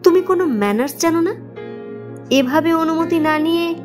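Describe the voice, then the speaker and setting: An indignant boss scolding from behind his desk in an audio drama, played by a woman narrator, pitched sharply high. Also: moderate pace, over music. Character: stern, indignant male office boss demanding manners.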